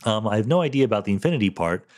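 A man's voice: fairly low pitch, animated, racing, darkish tone. A middle-aged man hosting a solo podcast, answering listener questions candidly with fillers like "um" and "you know". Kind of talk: solo podcast Q&A, thinking aloud.

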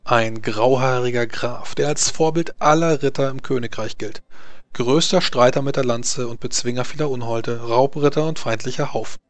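A man's voice: mid-range voice, moderate pace, no echo. A man narrating an improvised tabletop roleplaying session as game master.

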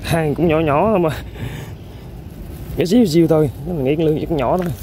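A man with a Vietnamese accent hosting an outdoor eel-fishing vlog by a riverbank. He gives running commentary to viewers while he works, with excited exclamations. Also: fairly high pitch, brisk, background noise. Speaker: eel-fishing channel host.

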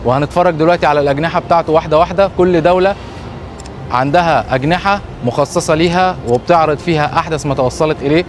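A man in his thirties with an Egyptian Arabic accent, vlogging excitedly outdoors and in pavilions, talking straight to viewers. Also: fairly high pitch, very fast, slight background noise. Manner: excitable, chatty, addresses viewers directly. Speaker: travel vlogger. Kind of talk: casual travel vlog. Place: outdoor expo grounds and indoor pavilions.

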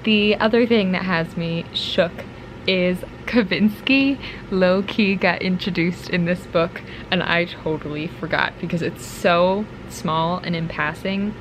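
A woman's voice: fairly low pitch, measured pace. Young woman, American accent, casual vlog chat, reflective, informal, faint room noise behind. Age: young adult. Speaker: book vlogger. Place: bedroom at home, indoors. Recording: clear ambient room noise.

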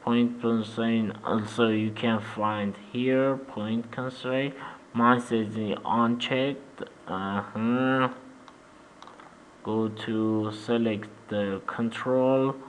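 A man from Armenia with an Armenian accent, guiding a software tutorial in halting, simple English with many "uh-huh" fillers. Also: lowish voice, slight background noise, low-quality recording. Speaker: 3D animation tutor. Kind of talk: screen-recorded software tutorial.

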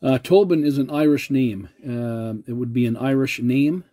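A man's voice: mid-range voice, moderate pace, dark tone, slight background noise. A man making a CB radio contact, polite and using radio procedure words. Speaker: CB radio operator.

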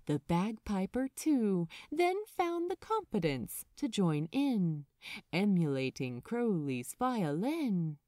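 A woman reading a story as an audiobook narrator, expressive, dramatic and measured. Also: medium pitch, no room sound, studio quality.

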